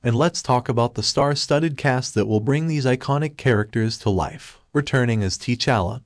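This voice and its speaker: A man reading a scripted promotional narration in the manner of an excited pitch. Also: moderate pace, no echo, clean studio sound. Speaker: video narrator.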